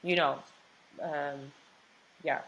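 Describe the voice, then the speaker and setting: Lively Mexican woman with a low voice. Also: very slow, a little hiss or hum, low-quality recording. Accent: Mexican Spanish. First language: Spanish. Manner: animated and talkative, restarts and repeats words, fillers like "like". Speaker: actress, interview guest. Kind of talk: casual interview.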